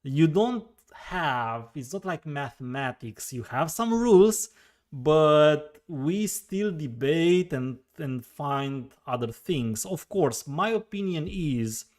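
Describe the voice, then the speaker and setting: A man's voice: on the high side, lively intonation, slow pace. A man with a Romanian accent hosting a live question-and-answer session, thinking aloud with frequent fillers and repeated words. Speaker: host answering viewer questions.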